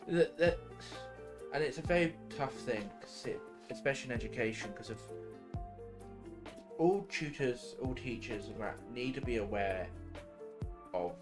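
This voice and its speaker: Male, medium pitch, casual explainer, halting thinking-aloud delivery, music behind.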